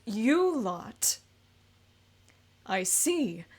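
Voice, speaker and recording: A woman's voice: on the high side, big pitch swings, very slow, breathy edge, heavily acted. A woman reading as a haughty woman officer. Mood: cold.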